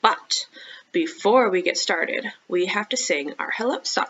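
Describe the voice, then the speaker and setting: A woman's voice: lowish voice, lively intonation, a bit bright, slight background noise. Woman hosting children's storytime, slightly muffled. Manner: child-directed, asking questions and pausing for answers. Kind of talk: read-aloud storytime for young children.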